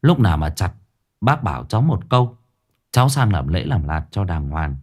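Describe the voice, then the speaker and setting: A man's voice: deep voice, sing-song, normal speed, a little mellow, pitched below the performer's own voice. A man with a Northern Vietnamese accent voicing a young village lad who speaks soothingly and coaxes an older man. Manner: soothing, coaxing.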